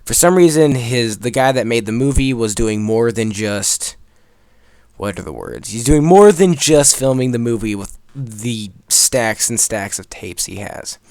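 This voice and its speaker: A man's voice: mid-range voice, lively intonation, unhurried, a little mellow, dry recording. Casual young man rambling through a story, dry recording. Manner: rambling, profane, emphatic. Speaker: video creator telling a story over gameplay.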